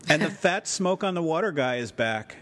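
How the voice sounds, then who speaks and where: A man co-hosting a casual podcast, with a smooth, soothing voice and a laid-back, dryly humorous manner. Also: lively intonation, normal speed, fairly bright tone. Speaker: podcast co-host. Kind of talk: casual podcast chat about a TV series. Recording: clear low rumbling background noise.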